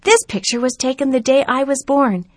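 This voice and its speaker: A woman voicing an excited, earnest young boy in a storybook reading, his lines pitched high and loud. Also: moderate pace, dry recording, clean studio sound. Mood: eager. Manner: high and eager, loud exclamations.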